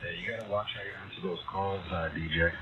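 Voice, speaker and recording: A man's voice: low voice, steady tone, quick pace. A man chatting casually and a little hesitantly over a dull, noisy ham radio transmission. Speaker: amateur radio operator.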